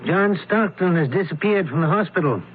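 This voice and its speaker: An actor playing a formal, probing, commanding criminologist in a radio mystery drama. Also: male voice, high-pitched, lively intonation, a little fast. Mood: brisk, commanding. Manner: formal, precise questioning. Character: male criminologist and investigator, probing, commanding, learned. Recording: noisy, tinny old radio broadcast.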